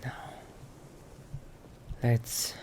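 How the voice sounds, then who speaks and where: A man's voice: drawn-out words, in a whisper. A man roleplaying in character through a video game, thinking aloud in a hesitant murmur. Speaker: player roleplaying a vampire Dark Elf character.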